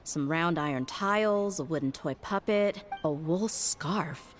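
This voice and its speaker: A woman's voice: low voice, a little slow. A woman playing a blunt, dryly exasperated young desert woman in a video game, matter-of-fact and sardonic.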